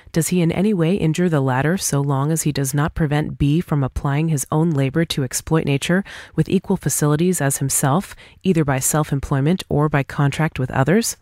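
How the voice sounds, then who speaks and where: A woman's voice: sits low, warm tone, dry recording, studio quality. A woman reading a scripted dialogue aloud as for an audiobook, in a formal, argumentative manner. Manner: formal, argumentative, rhetorical questioning. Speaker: audiobook reader.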